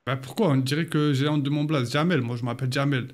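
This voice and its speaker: A young man streaming from his home gaming setup, teasing strangers on a video chat, deliberately mishearing them and acting offended. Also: mid-range voice. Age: young adult. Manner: plays dumb, mishears on purpose, mock-offended.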